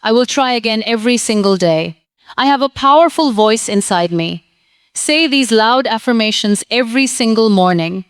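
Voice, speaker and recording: A woman's voice: fairly high pitch, lively intonation, normal speed, smooth, dry recording. A woman giving a scripted motivational English lesson, earnest and encouraging, speaking in short, plain sentences. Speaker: English teacher.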